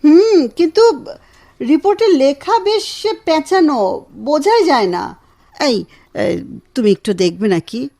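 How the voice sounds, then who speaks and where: A woman playing an affectionate, gently insistent wife in a radio drama, with an expressive, tender delivery. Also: high voice, slow, gravelly, pauses often, dry recording, studio quality, heavily acted. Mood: coaxing. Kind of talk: Bengali radio drama acting.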